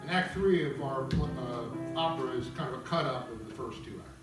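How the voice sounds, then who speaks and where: A man informally introducing and narrating a live table read, explaining as he goes with some halting. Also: mid-range voice. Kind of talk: live introduction and reading of stage directions. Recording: echoey room, far mic, guitar behind. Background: guitar music.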